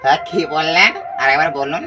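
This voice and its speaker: A prank caller voicing a flirtatious, teasing hijra auntie, coaxing with drawn-out questions. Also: mid-range voice, close to an everyday voice. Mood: teasing, pleading. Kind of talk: prank phone call. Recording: background music under the voice. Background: music.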